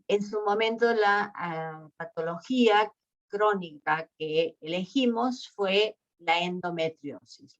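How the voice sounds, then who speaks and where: A researcher from Argentina with an Argentine accent, giving a slow online lecture full of hesitations. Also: female voice, mid-range voice, highs cut off. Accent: Argentine Spanish. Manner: slow and deliberate, with many hesitations.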